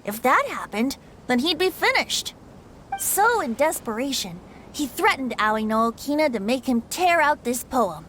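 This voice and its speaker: A woman's voice: high-pitched, big pitch swings, unhurried, a bit bright, a little hiss or hum, full character voice. A woman voicing a playful young male bard in a game, teasing and patiently explaining. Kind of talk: game cutscene dialogue.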